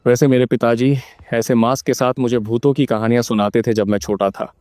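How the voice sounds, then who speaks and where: Kindly middle-aged male mentor, acted by a man: mellow, dry sound, measured and gently grave. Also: mid-range voice, flat delivery, no echo, close to an everyday voice. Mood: curious, reflective. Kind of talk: Hindi-dubbed video game dialogue.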